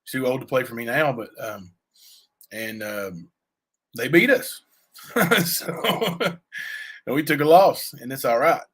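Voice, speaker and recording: A man with a raspy voice, chatting loosely on a livestream and answering viewers, full of fillers and asides. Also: medium pitch, a little slow, pauses often. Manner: rambling, many fillers and asides to chat. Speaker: livestream cohost; youth basketball coach. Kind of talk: casual livestream chat.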